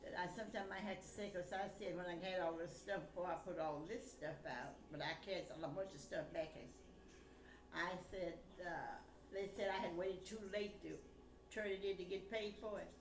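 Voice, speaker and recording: A woman's voice: fairly low pitch, steady tone, normal speed. Older woman storytelling in an echoey room. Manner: conversational, quotes exchanges as dialogue, blunt. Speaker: grandmother recounting family stories. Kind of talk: casual rambling storytelling. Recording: echoey room, background noise.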